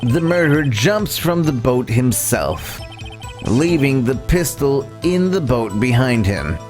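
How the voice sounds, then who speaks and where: A man voicing a young defense lawyer in a courtroom video game, earnest and nervous but determined, explaining in halting, formal speech. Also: medium pitch, lively intonation, a little mellow. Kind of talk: live voiced playthrough of a courtroom video game. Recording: game music under the voice.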